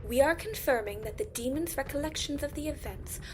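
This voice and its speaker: A woman's voice: high-pitched, lively intonation, a little fast, soft background music. A woman playing a stern, commanding tribunal leader in a fantasy audio drama, firm and impatient.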